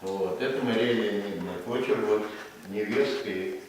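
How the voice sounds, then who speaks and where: A man's voice: on the low side, moderate pace, a little hiss or hum. A man hosting a book presentation in a museum, introducing guests in a rambling way with many filler words. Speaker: presentation host. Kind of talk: informal introductions at an event. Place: museum hall. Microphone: distant room microphone. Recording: very echoey hall from far off.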